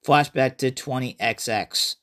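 A man reading a first-person horror story as a chatty survivor, with animated, emphatic storytelling. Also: medium pitch, a little slow, natural voice. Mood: animated, foreboding. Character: chatty survivor narrator recounting an outbreak horror tale.

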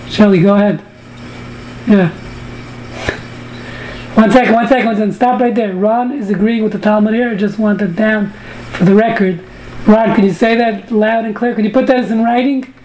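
A woman's voice: mid-range voice, fairly even intonation, normal speed, pauses often, noisy background. Joking American woman teaching online. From United States. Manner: joking, bantering with participants. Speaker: Talmud class teacher. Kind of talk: online study class. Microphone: video call.

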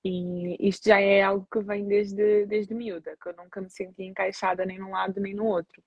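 A woman with a European Portuguese accent answers as a guest on a video call. Her voice is a little husky and stays level in pitch. The sound is dry and close, and she speaks in a measured, reflective way. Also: level tone, darkish tone, no echo, dull audio. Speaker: guest psychologist. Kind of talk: live interview guest.

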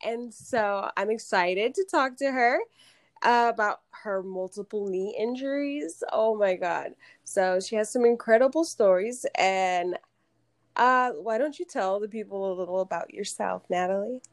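Slow-talking woman podcast host. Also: mid-range voice. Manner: bubbly, laughs often. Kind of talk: casual podcast hosting.

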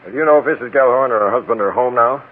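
Man acting brisk American police captain, husky voice, impatient, interrogating, 1940s radio crime play, thin muffled recording. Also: mid-range voice, natural voice. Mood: impatient, commanding. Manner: curt, interrogating, businesslike. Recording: muffled, narrow-band old radio recording.

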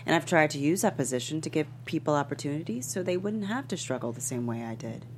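A woman's voice: sits low, animated, quick pace, slight background noise. An actress playing a proud, stressed female talk-show star in a script table read, with an emphatic, wounded delivery. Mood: hurt.

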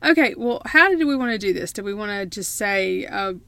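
A chatty American woman co-hosting a relaxed podcast, laughing easily and circling back to restart her sentences. Her voice sits at a middling pitch. The recording is dry and close, with almost no room sound. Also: fairly quick, no echo. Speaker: podcast co-host. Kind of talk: casual remote chat.

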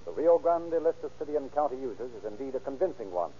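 A man announcing and narrating from a script in a formal, measured 1930s radio style. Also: medium pitch, very animated, dark tone, a little hiss or hum. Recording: dull 1930s radio recording.

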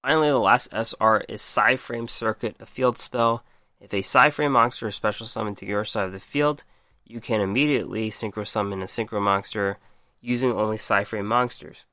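This is a man hosting a podcast, his voice a touch low but bright and forward, and worn hoarse from talking. He reads card stats plainly, then muses on them with frequent ums. The sound is dry and close, with no room around it. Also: normal speed, no room sound. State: losing his voice. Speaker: podcast host. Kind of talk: casual card game review.

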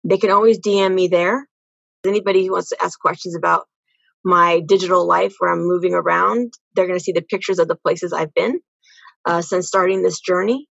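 A woman's voice: lowish voice, steady tone, fairly quick, gravelly, fairly bright tone, dry recording, dull audio. A woman giving casual, earnest answers as a podcast guest, often repeating phrases for emphasis. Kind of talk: casual interview conversation.

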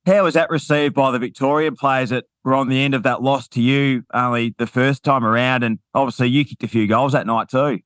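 A man hosts the interview, asking long, well-prepared questions in a steady mid-range voice. His recording is dry and close. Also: medium pitch, fairly quick, hardly any pauses, clean tone, no room sound. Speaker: podcast host.

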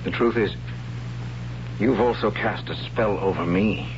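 A man's voice: fairly low pitch, a little slow, close to an everyday voice. An actor playing an earnest, questioning young male captive in an old radio drama. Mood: intimate, earnest. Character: earnest young captive, son of a high priest. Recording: muffled, noisy old radio broadcast with hum.

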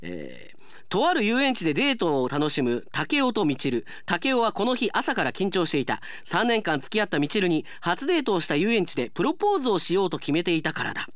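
Animated male radio host with lively pitch. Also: brisk, no room sound, clean studio sound. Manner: animated, conversational. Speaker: radio announcer and show host. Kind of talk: radio talk, reading listener mail.

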